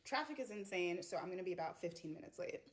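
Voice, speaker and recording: A woman's voice: on the low side, lively intonation, fast. A woman from the United States telling a chatty story time, acting out bits of dialogue. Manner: conversational, acts out dialogue.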